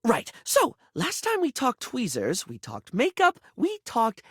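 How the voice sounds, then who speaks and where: A man's voice: very high voice, huge pitch swings, a little slow, fairly bright tone, slightly rough, no room sound, studio quality, full character voice. A male actor voicing a flamboyant, giddy, chatty bathroom beauty character in a video game, theatrical and gushing, with campy pet names. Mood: chatty, showy.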